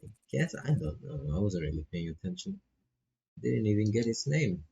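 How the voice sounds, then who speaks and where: A shy-sounding, reserved teenage schoolgirl in a visual novel, as a man reads her. The voice is very low and gravelly. Lines come out understated and hesitant, in a mellow tone. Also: big pitch swings, normal speed, muffled recording, exaggerated voice. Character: reserved teenage girl, new boarding school student. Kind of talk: visual novel dialogue read aloud.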